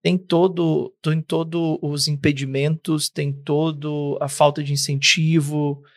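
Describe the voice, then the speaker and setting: A man's voice: a little high, level tone, slow pace, no echo, clean studio sound. A man hosting a business podcast, giving short nods and asking long, careful questions. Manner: attentive, short affirmations, long framed questions. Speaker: interview host. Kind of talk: podcast interview.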